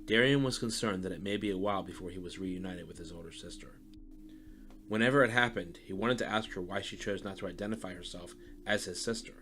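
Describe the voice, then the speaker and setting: A man's voice: low-pitched, fast, a bit bright, soft background music. A man narrating a scripted story in the third person.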